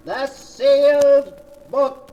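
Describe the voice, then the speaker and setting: An actor playing an eerie, cackling keeper of sinister tales in a theatrical 1940s radio mystery style. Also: male voice, dark tone, lo-fi sound, slight background noise, full character voice. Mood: cackling triumph. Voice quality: high-pitched, cackling. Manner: slow, theatrical, menacing. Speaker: horror anthology storyteller. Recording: vintage 1940s radio broadcast.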